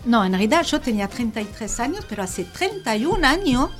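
Woman, 60s, French accent, native French speaker, halting and hesitant, slightly higher voice, faint music behind. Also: big pitch swings. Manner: hesitant, many fillers and restarts. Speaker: interview guest. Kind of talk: casual conversational interview.

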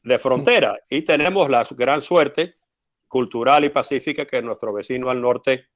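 Interview guest, male, second-language speaker, steady explanatory delivery with hesitations. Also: medium pitch. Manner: measured, frequent "eh" fillers, explanatory. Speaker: interview guest, former US policy adviser. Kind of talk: conversational interview answer.

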